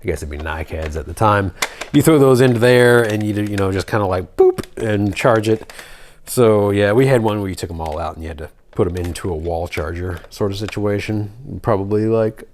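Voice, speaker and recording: Low-voiced man rambling over background noise. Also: normal speed, a little mellow. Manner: rambling, thinking aloud. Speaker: RC hobby channel presenter. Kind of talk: casual nostalgic show-and-tell of a toy truck. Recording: clear background noise with clicks.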